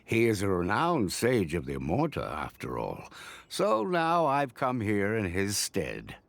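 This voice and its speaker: A man playing a composed, authoritative older sage in a video game, formal and smoothly evasive. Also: on the low side, wildly swooping pitch, no room sound, heavily acted. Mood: composed, stern. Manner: measured, formal, commanding.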